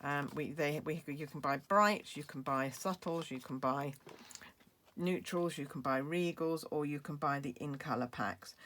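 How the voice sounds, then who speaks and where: A British woman demonstrating a craft project, chatty and explaining each step with fillers, her voice going hoarse. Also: deep voice, a little slow, a bit bright, slight background noise. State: losing her voice, coughing. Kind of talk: crafting tutorial.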